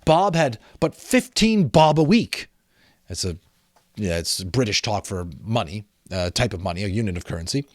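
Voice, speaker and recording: A man narrating a storybook dramatically, with an expressive, theatrical manner. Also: fairly low pitch, moderate pace, no echo, studio quality. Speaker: amateur audiobook reader.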